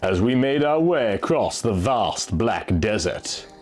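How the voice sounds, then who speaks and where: A man's voice: on the low side, very animated, measured pace, a little mellow. A man giving a theatrical, emphatic dramatic reading of a first-person fantasy story. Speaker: narrator, reader. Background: faint music.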